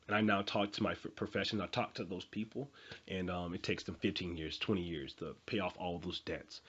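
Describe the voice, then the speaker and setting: Young man, twenties, husky low voice, earnest motivational monologue, many restarts, muffled lo-fi recording. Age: 20s. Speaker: motivational vlogger.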